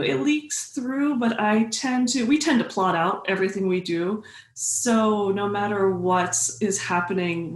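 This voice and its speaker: Excited middle-aged woman guest, talks in quick bursts, echoey room on a video call. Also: fairly low pitch. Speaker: guest author. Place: home room, indoors.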